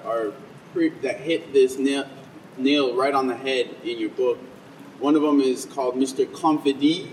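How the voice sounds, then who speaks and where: A man's voice: medium pitch, darkish tone, recorded from a distance, a little hiss or hum, poor audio. A man interviewing an author, asking long, winding questions full of fillers and hesitations.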